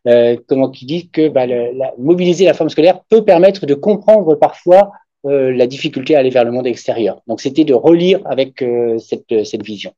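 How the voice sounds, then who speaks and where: A man's voice: medium pitch, animated, normal speed, dark tone, dry recording. A man whose first language is German, presenting academic research and answering questions with many hesitations and fillers at a university conference. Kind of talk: academic discussion after a talk. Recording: dull muffled sound.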